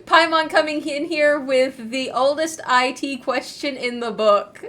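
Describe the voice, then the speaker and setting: Chatty woman gamer, slightly high voice. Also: measured pace, a bit bright. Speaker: gaming channel host. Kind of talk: casual playthrough commentary.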